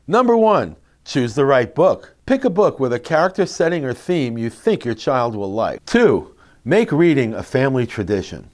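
A middle-aged man presenting instructional tips to parents in an expressive, emphatic, clearly phrased way. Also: mid-range voice, moderate pace. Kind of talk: instructional narration to parents, with expressive read-aloud demonstrations. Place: indoor classroom. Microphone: lapel mic.

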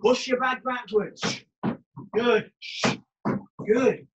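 A karate instructor calls out drills and shouts encouragement to students on a video class. He trains alongside them in a small brick-walled gym, heard from a camera across the room. A faint hiss sits under his voice. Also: very high-pitched, steady tone, very slow, muffled recording. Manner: barking encouragement and commands while training. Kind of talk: online class coaching.

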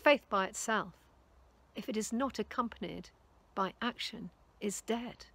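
A woman in her fifties, a charity volunteer speaker, giving an earnest, measured sermon outdoors in a garden on a lapel mic. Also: mid-range voice, creaky, fairly bright tone, slightly rough. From England. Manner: earnest, measured preaching with rising emphasis. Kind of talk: recorded sermon talk.

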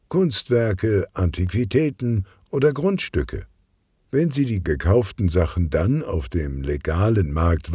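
A man narrating a scripted children's audiobook in an expressive, deliberate storytelling manner. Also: sits low, breathy edge, no echo, clean studio sound.

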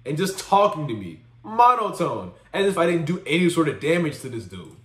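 A man's voice: fairly high pitch, big pitch swings, brisk, reverberant. A man hosting a reaction channel, giving slangy, casual, conversational commentary. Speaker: host of a reaction video channel.

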